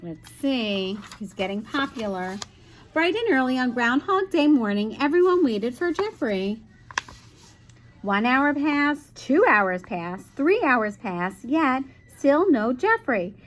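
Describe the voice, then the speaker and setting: A woman's voice: sits high, very animated, slow pace, slight background noise. A woman teacher reading a children's picture book aloud expressively, with chatty asides to young listeners.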